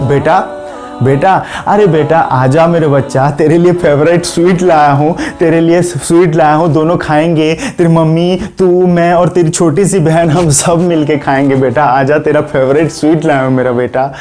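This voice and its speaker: A man's voice: a little high, steady tone, moderate pace, darkish tone, soft background music, lightly acted. A man playing a patient, troubled father in a spoken story, soothing and pleading with his son. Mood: coaxing.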